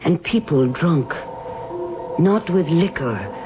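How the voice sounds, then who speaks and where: A woman's voice: sits low, big pitch swings, slow pace, noisy background, full character voice. A woman playing an insolent, teasing young actress, ambitious yet wary, in a radio drama. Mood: confiding. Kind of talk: radio drama acting, with diary narration. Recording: muffled old radio drama, faint music behind.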